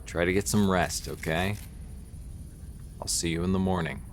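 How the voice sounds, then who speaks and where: An actor playing a steady, determined police detective in an audio drama, confident and matter-of-fact. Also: male voice, low-pitched, moderate pace, slight background noise, lightly acted. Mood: reassuring.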